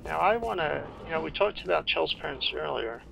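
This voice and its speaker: A man with a creaky, slightly high voice talks through a video game he is playing. His delivery is casual and halting, thinking aloud. The amateur recording has clear noise behind him. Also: animated, bright, forceful tone, rough recording. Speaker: video game player and commentator. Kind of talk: casual playthrough commentary.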